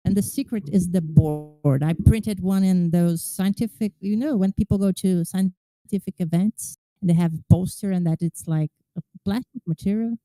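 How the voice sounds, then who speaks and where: Woman, Brazilian Portuguese accent, chatty, dark mellow voice, dry. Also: lowish voice, moderate pace, hardly any pauses, no room sound, rough recording. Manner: chatty, many "like" fillers. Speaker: community tester. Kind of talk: casual demo on a call.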